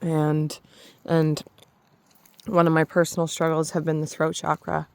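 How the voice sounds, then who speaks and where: A woman talking slowly and softly in a reflective spiritual vlog, pausing and restarting often, with a slightly raspy voice, alone in a forest. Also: deep voice, monotone, no room sound. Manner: soft, slow, hesitant, with many restarts. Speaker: spiritual vlogger.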